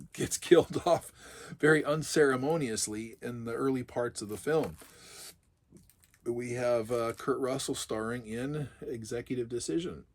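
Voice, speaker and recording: A middle-aged man who is sick, his voice gone raspy and a little low. He talks in a loose, chatty way, musing aloud and laughing now and then as he unboxes his purchases. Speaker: collector vlogger. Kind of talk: casual unboxing monologue.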